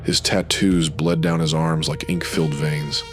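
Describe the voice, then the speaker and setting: Guy narrating dark noir audio drama, super deep voice, moody short phrases, music going behind him. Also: level tone, measured pace.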